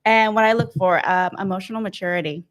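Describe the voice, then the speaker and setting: A woman's voice: medium pitch, fast. A woman in her late twenties, a podcast panel guest, chatty and laughing lightly between points. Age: 20s. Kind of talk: casual chat.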